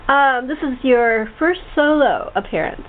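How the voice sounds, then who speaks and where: Over a thin, phone-like connection with faint noise, a woman with a fairly high voice hosts a casual chat show. She speaks haltingly, often stopping and repeating her words as she goes. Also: animated. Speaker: host of a talk show. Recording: muffled tinny phone line with faint noise.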